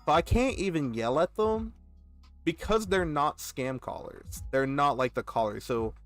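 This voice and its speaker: A man, chatting casually as a gaming host and telling an animated, complaining story. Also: on the high side, a little slow, faint music behind. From Texas. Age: 20s. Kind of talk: casual rambling chat while gaming.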